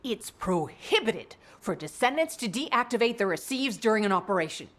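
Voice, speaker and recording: A woman playing a caring, protective and stern soldier-scientist in a video game, speaking in a measured, formal way. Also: mid-range voice, sing-song, a bit bright.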